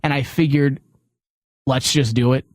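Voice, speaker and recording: A man's voice: medium pitch, fairly even intonation, a little slow, no room sound. A man hosting a studio podcast in a casual, chatty style with teasing banter. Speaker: podcast host.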